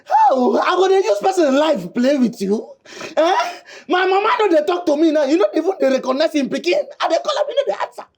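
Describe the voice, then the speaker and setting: A man's voice: very high voice, fairly quick, few pauses, full character voice. A man with a Nigerian Pidgin accent, playing a desperate man who begs for help again and again. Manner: pleading, repetitive, animated.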